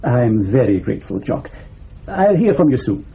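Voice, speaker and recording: Lively-toned senior British detective. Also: male voice, medium pitch, moderate pace. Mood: concerned, businesslike. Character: dry, concerned senior British police detective, man. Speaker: actor in a radio drama. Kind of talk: British radio drama acting. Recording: muffled, noisy radio drama recording.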